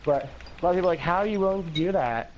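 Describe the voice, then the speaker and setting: Casual teen male streamer, slight lisp, rambling and animated, light hiss on the line. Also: rapid-fire, dull audio. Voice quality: higher-pitched voice with an occasional lisp. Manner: talkative, rambling with many "like"s, swearing, animated laughs. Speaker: livestream host. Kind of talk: casual chat on a game livestream.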